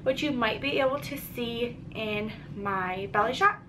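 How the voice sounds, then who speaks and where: A woman's voice: fairly high pitch, a little slow, noisy background. A young pregnant woman giving a casual vlog update, congested and a little short of breath, chatty with frequent ums. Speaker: pregnancy vlogger.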